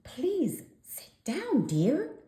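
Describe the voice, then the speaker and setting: Woman as a high-pitched prim otter librarian lady. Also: huge pitch swings, extremely slow, warm tone, full character voice. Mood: prim scolding. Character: prim, polite grown-up female otter librarian, gently scolding. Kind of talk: children's storybook character voice. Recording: echoey room.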